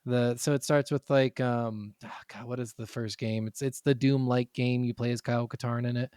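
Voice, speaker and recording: Man, medium pitch, casual podcast chat, rambling explainer, sweary, dry sound. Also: fairly even intonation, moderate pace, a little mellow, clear, resonant tone, no echo. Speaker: podcast co-host. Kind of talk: casual chat about video games.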